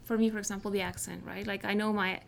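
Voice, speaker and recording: A woman from Mexico speaks English with a Mexican accent, Spanish being her first language. Her voice carries a gravelly, fry-edged texture. She talks in a reflective, searching way, as a guest in a relaxed interview. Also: medium pitch, fast talker. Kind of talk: casual interview conversation.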